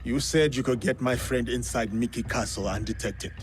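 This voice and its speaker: An actor playing a stern, principled, guarded samurai in a video game, grave and terse. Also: male voice, medium pitch, normal speed, natural voice. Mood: businesslike. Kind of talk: video game cutscene acting. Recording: music faintly behind the voice.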